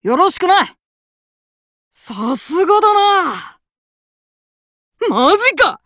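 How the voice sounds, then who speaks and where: 生意気で熱血な少年カードファイター. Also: female voice, very high-pitched, very animated, extremely slow, no echo, full character voice. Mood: cocky. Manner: boastful, hot-blooded, shouts chants. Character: brash cocky young male card fighter. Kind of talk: game voice lines. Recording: dry studio recording.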